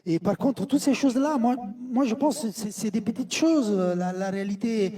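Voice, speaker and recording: Guy on a football panel, high mellow voice, lots of euhs, slightly off mic. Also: moderate pace, far from the mic. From Italy. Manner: hesitant, many fillers. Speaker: football pundit. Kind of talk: studio debate.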